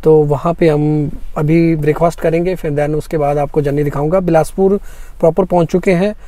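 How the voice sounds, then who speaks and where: A man's voice: a little high, fairly even intonation, a little fast, mellow, no room sound. A young man, a travel vlogger, chatting casually to viewers while on a road trip, filmed on a phone camera inside a car. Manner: chatty, addresses viewers directly. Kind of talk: casual travel vlog narration.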